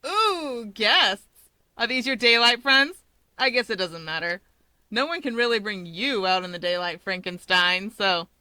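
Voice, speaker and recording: A woman's voice: medium pitch, expressive, a little slow, bright, forceful tone, smooth, dry recording. A woman voicing a brash, cocky young superhero woman who taunts exuberantly, in a fan fiction audiobook. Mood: gleeful teasing.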